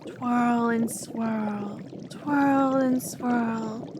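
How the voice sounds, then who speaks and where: A woman's voice: on the high side, steady tone, drawn-out words, noisy background. A woman voicing a young alchemist who chatters as she works and turns obsessive, in a scripted audio roleplay. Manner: chatty, rambling, then clingy and obsessive. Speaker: ASMR roleplay performer.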